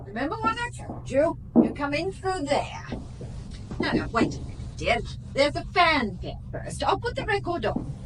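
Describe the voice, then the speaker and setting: An actress playing a bossy woman who coaches a nightclub dancer, giving coaxing and sharp instructions. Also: very high voice, very animated, normal speed, far from the mic, very noisy. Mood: instructing. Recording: loud music, voice off-mic.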